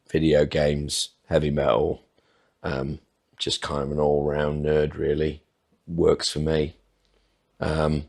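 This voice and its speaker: Very deep-voiced man in his forties. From North Wales. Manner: unhurried, rambling, self-deprecating. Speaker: podcast guest. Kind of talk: casual interview chat.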